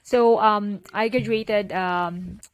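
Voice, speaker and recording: A woman's voice: mid-range voice, steady tone, slow, a little hiss or hum. A young woman from the Philippines with a Filipino accent, chatting as a podcast guest and filling her talk with "like" and "you know". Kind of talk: casual interview conversation.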